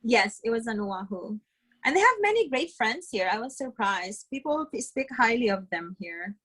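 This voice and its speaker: A woman's voice: fairly high pitch, big pitch swings, moderate pace, a bit bright, poor audio. A woman, chatting cheerfully as a guest and giggling often. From Philippines. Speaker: guest, dancer. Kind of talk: casual interview chat.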